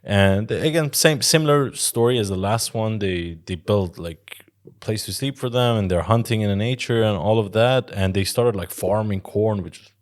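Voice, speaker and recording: A man's voice: fairly low pitch, moderate pace. A man co-hosting a podcast, casually telling stories with frequent swearing and laughter. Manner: rambling storyteller, swears, laughs often. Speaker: podcast co-host.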